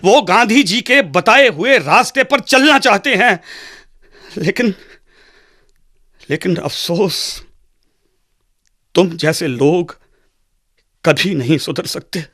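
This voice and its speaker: An impassioned father in a radio drama, played by a man. His high voice is tense and forceful. He rebukes indignantly and emphatically, repeating phrases for weight. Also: slow pace, pauses often, heavily acted. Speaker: actor.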